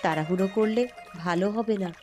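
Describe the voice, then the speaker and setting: A woman's voice: mid-range voice, fast, music in the background, lightly acted. A woman playing a devoted, brave mother in a cartoon story, speaking in an earnest, soothing manner. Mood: reassuring. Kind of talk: Bengali cartoon voice acting.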